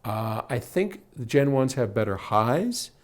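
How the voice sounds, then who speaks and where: A man's voice: mid-range voice, very animated, measured pace. An older American man talking casually as a host answering viewer questions, explaining technical detail step by step in a conversational way.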